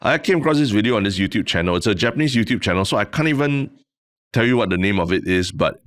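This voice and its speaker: A young man with a Singaporean accent co-hosts a casual podcast, winding through a story with restarts and a sprinkling of "lah." His voice sits very low. The recording is dry and close, with no room sound around it. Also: animated, fast, fairly bright tone, dry recording. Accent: Singaporean English. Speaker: podcast co-host. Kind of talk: casual chat.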